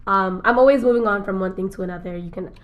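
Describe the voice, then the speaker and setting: A woman's voice: medium pitch, fast. A nineteen-year-old woman guest chatting casually on a podcast, giggly and upbeat as she tells her story. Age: young adult. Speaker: guest, cosmetics founder.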